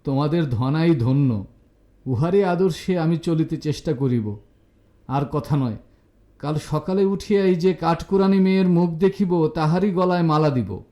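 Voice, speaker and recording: A man's voice: on the high side, a little slow, mellow, voice pushed up, lightly acted. A man voicing a good-humoured, embarrassed young bachelor who protests and pleads in an audio story. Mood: mock pleading.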